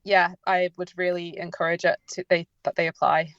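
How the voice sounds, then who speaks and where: A woman's voice: fairly low pitch, level tone, normal speed, smooth, no room sound, highs cut off. A woman giving informal, unscripted radio interview answers as a drama writer, hesitant and often correcting herself as she goes. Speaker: audio drama writer and producer, interview guest.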